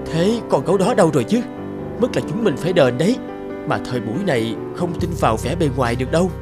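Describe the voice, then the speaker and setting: A man's voice: on the high side, lively intonation, fast talker, over loud music, natural voice. A man voicing an anxious, polite young female shop clerk in earnest cartoon dialogue. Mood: worried. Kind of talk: cartoon moral-tale dialogue.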